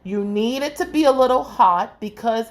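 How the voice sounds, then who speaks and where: A woman's voice: a little high, normal speed. A woman from the Bahamas with a Bahamian accent, hosting a home cooking tutorial in her kitchen and chatting directly to her viewers. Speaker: cooking channel host.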